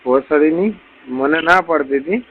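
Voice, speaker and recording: A man's voice: fairly high pitch, measured pace. A young adult man, talking casually and crudely as a caller, swearing often, on a recorded phone call.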